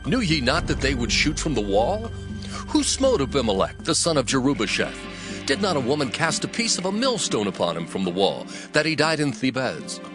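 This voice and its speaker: Man acting an animated, stern ancient army commander. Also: mid-range voice, a little fast, music in the background, close to an everyday voice. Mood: stern instruction. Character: military commander sternly briefing a messenger. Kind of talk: dramatized Bible audiobook acting.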